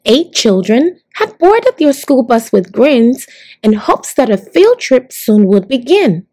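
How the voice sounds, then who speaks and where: A woman storyteller reading a picture book aloud to young children in a deliberate, expressive way. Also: on the high side. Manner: deliberate, animated, addressing young listeners.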